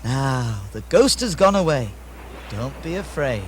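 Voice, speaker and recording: A man playing a calm, reassuring holy man who acts as an exorcist in a puppet show, measured and instructive. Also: medium pitch, faint music behind. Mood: confident, reassuring. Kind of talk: theatrical puppet-show acting.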